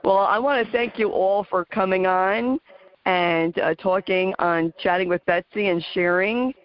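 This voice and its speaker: A woman with a New Jersey accent hosting a talk radio interview, earnest and halting with many fillers. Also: mid-range voice, dull audio. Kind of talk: casual interview chat.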